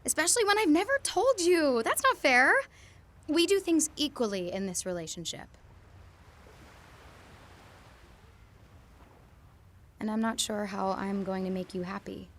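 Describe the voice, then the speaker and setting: A woman's voice: on the high side, normal speed, a bit bright, slight background noise, natural voice. A young woman playing a conflicted former girlfriend in an audio drama, earnest and hesitant in her delivery. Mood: demanding, upset.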